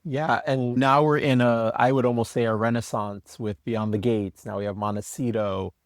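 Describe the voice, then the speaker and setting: A man's voice: mid-range voice, steady tone, normal speed, no room sound, studio quality. A man being interviewed as a writer, talking in a conversational, anecdotal way. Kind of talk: interview talk.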